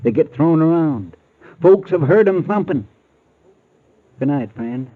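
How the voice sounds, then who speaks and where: High-pitched, folksy, foreboding rural local. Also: male voice, lively intonation, measured pace. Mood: ominous. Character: ominous, superstitious rural roadside proprietor, wary local man. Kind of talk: 1940s radio acting. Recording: noisy, narrowband old radio recording.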